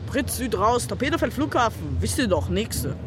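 A man's voice: high-pitched, very animated, normal speed, over music. An actor playing a cocky streetwise teenage boy hustler in a radio drama, talking in clipped slang. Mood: cocky, evasive.

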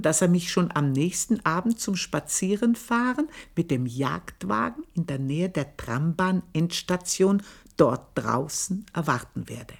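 An actress playing an aged maidservant, proud, bitter and passionate, who tells her story in a slow, intense radio play monologue and sometimes sinks to a hushed whisper. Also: fairly low pitch. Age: older. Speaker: old maidservant telling her life story.

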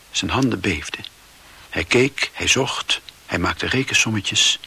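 Male radio drama narrator, low and husky, measured literary delivery with dramatic weight. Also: sharp tone.

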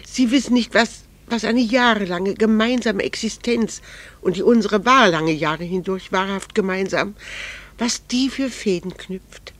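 An actress in a German radio drama playing a proud, candid, melancholy married woman, speaking in a measured, formal and earnest way. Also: medium pitch, fairly bright tone. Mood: anguished, earnest.

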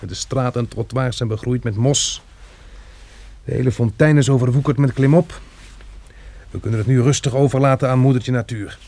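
A husky-voiced man played by an actor in a radio drama, a practical farmer who has survived catastrophe. His medium-pitched voice is sober and measured, and he keeps to the facts. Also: steady tone, warm tone, close to an everyday voice. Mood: grave, resigned. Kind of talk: radio drama acting with diary narration.

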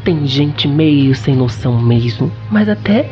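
A woman's voice: low voice, expressive, a little slow, dark tone. Coy, sentimental young sweetheart played by a woman, radio melodrama, lo-fi sound with faint music. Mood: coy, tender. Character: Madalena Guadalupe, coy romantic young woman with strict father. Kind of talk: melodramatic romantic radio soap acting. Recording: dull lo-fi audio under music.

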